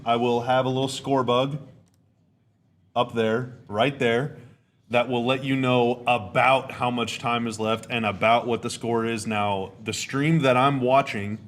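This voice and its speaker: A young man with a raspy, slightly hoarse voice at an ordinary middle pitch hosts a relaxed live stream from his home and chats with his viewers. A faint hum runs under the recording. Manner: chatty, reads chat comments aloud. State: hoarse, dry sinuses. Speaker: podcast host. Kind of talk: casual live-stream chat. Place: home room.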